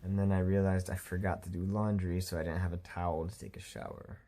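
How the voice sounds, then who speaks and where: A man's voice: sits low, little pitch movement, fast, dark tone. A young man telling a casual vlog story in an exasperated, self-deprecating way. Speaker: vlogger.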